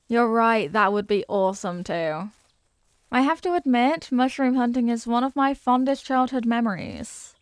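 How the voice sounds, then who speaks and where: Woman acting a sweet, wistful childhood friend, higher-pitched, close-up. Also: normal speed, smooth, no room sound, lightly acted. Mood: fondly nostalgic. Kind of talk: scripted ASMR roleplay spoken to the listener.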